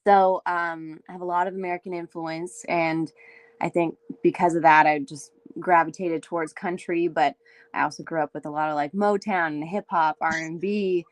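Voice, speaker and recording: A young woman from Windsor, Ontario with a raspy voice, chatting loosely as a singer-songwriter guest, full of fillers. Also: on the low side, steady tone, normal speed, no room sound. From Windsor, Ontario, Canada. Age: young adult. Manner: chatty, many fillers, laughs easily. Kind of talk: casual interview chat.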